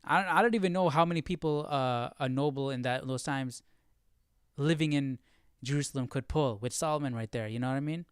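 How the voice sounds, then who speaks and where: A man's voice: mid-range voice, fairly quick, smooth, dry recording, studio quality. A man hosting a Bible study stream, reading aloud and musing in an informal, questioning way. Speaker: host of Bible study livestream.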